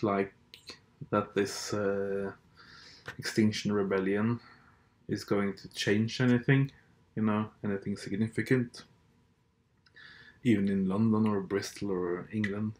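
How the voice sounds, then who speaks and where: Slow-talking Norwegian man, low voice. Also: flat delivery, a little mellow, poor audio. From Norway. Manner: hesitant, blunt, sardonic, with frequent fillers and restarts. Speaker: podcast host. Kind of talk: casual monologue.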